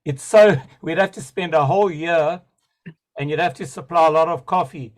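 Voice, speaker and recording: A man's voice: a little high, normal speed. Enthusiastic, rambling man on a video call. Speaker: webinar participant. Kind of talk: spontaneous comment in a webinar.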